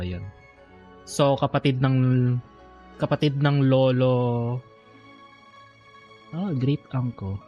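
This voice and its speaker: A man's voice: mid-range voice, a little mellow. A man with a Filipino accent giving casual gameplay commentary as a streamer, thinking aloud and switching languages, over game music. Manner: code-switching, musing aloud. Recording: faint game music behind the voice.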